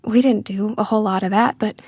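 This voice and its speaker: A woman's voice: mid-range voice, steady tone, brisk, a little mellow, breathy edge, dry recording, clean studio sound. An actress playing a wistful, wry young woman far from her family, telling her story conversationally in an audio drama monologue. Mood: wry, lonely. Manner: conversational, confiding.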